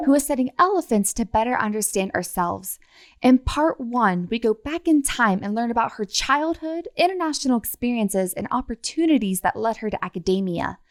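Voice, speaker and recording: A woman's voice: on the high side, dry recording, clean studio sound. Upbeat woman podcast host. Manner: upbeat, reacts with laughs and exclamations. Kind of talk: scripted intro then casual interview.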